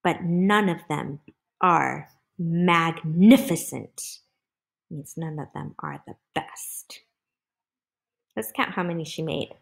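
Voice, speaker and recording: A woman's voice: sits low, takes it slowly, gravelly, long pauses. A woman reading a picture book aloud to children, expressively, with chatty asides and questions to her young listeners.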